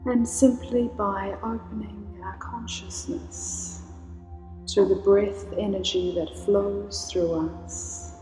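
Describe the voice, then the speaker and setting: A woman leading a guided meditation in a slow, soothing, invocational way. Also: medium pitch, steady tone. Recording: roomy reverb with soft music.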